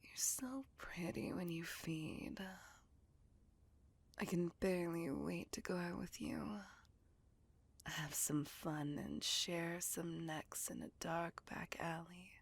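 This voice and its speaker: A woman plays a seductive female vampire in a whispered ASMR roleplay, low and husky with a creaky edge. She takes her time, intimate and doting, yet coaxing her lover with possessive control. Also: slow pace, exaggerated voice. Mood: seductive, pleased. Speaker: voice actor.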